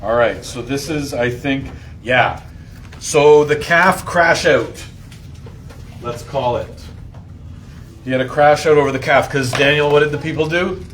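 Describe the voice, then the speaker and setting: A man hosts an informal Bible study in a slangy, joking style. He quizzes his listeners and acts out lines with gusto. There is clear background noise behind his voice. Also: mid-range voice, measured pace, pauses often. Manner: slangy and jokey, acting out lines loudly. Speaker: Bible study host and teacher.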